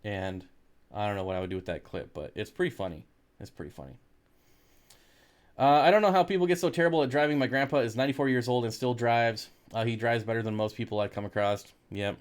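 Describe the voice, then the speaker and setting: A man's voice: mid-range voice, quick pace. An American man hosting a casual livestream, talking in a laid-back, jokey way as he reads and answers comments. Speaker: livestream host reading and answering viewer comments.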